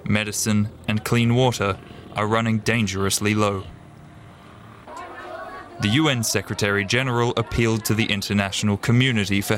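A man's voice: lowish voice, fairly bright tone, slight background noise. A man narrating a scripted television news report as a reporter.

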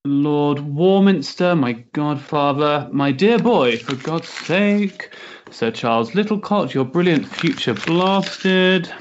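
An actor playing a restless, sensitive young Victorian gentleman in a stage play, hesitant and politely mannered. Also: a little high, lively intonation, slow, highs cut off, a little hiss or hum. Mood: bitter, mocking. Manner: hesitant, polite, mannered upper-class diction. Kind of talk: period stage-play acting.

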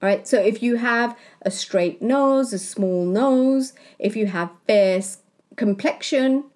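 A woman from Kenya, a spiritual healer, talking in a slow, deliberate monologue that she punctuates with "right?". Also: fairly high pitch, darkish tone. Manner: slow, deliberate, with tag questions. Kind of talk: reflective monologue.

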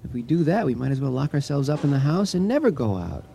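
An actor in a radio drama playing an anxious husband who tries to stay rational and reassuring. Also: male voice, medium pitch, very animated, fast, vocal fry, mellow. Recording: slightly noisy 1980 radio drama recording.

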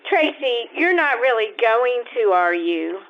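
A woman acting a high, singsong tattling girl. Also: big pitch swings, measured pace. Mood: coy, tattling. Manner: coy, hesitant, storytelling. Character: nosy, tattling young girl, coy and dramatic. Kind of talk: old-time radio acting, live stage performance. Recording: thin, phone-like lo-fi stage recording.

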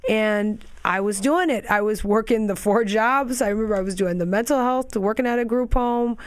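A young woman with a raspy voice, chatting as an interview guest and drifting into quick tangents and restarts. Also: fairly high pitch, no room sound. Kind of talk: casual interview chat.

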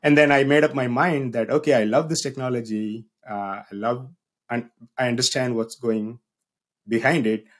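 A man's voice: mid-range voice, steady tone, normal speed. A man in his thirties from South India with a South Indian accent, telling his story in an easygoing, lightly humorous way as a podcast guest. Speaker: guest, business owner and university researcher. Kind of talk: casual podcast interview.

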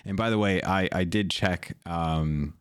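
A man hosting a podcast, talking casually and off the cuff in a halting, rambling, enthusiastic way from a home office. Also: low voice, clear, resonant tone, no echo, clean studio sound. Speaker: podcast host and content creator. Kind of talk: casual unscripted talk about a gaming fan convention.

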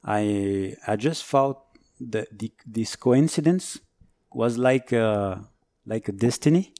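A man in his forties from France with a French accent, a firefighter guest talking casually, halting and searching for words. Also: on the low side, warm tone, dry recording, studio quality. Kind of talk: casual interview chat.

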